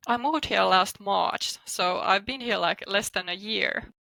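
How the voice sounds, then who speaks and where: A woman with a Finnish accent chats as an interview guest in a bright, creaky voice. Her phrasing is informal and full of "like". The recording is close and dry. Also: mid-range voice, unhurried, dry recording, poor audio. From Finland. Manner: informal, peppered with "like" as a hedge. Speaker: guest, psychotherapist and coach. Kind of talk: casual interview talk.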